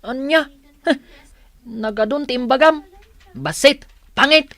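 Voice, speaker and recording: An actress with an Ilocano accent playing a mocking, teasing schoolchild in a radio drama. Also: female voice, high voice, slow pace, a bit bright, no echo.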